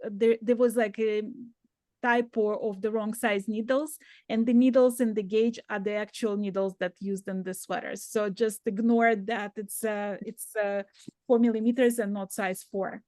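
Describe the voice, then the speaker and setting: A woman's voice: medium pitch, fairly even intonation, moderate pace, a little mellow, dry recording. A woman hosting a knitting video call, chatting casually and peppering her talk with fillers. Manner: friendly, fillers like "like". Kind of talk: casual chat.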